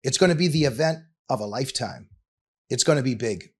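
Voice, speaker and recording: A man's voice: medium pitch, expressive, fairly quick, breathy edge. Emphatic male talk show host. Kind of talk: scripted intro and interview talk.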